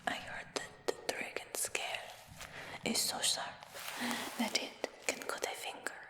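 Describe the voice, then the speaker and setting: A woman whispering a fantasy roleplay for ASMR in a soft, coaxing way, repeating words slowly. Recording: roomy with long reverb.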